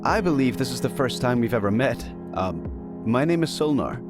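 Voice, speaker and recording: An actor playing a modest, wary high elf man with a hesitant manner in a fantasy audio drama. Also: animated, normal speed, music in the background, lightly acted. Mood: guarded.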